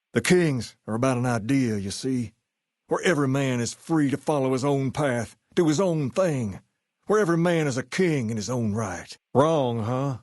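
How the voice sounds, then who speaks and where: An actor playing a suave, folksy gang leader in a video game, with a drawl and a cool swagger. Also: male voice, medium pitch, darkish tone, no room sound, studio quality, close to an everyday voice. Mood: proud, then confrontational. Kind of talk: video game dialogue acting.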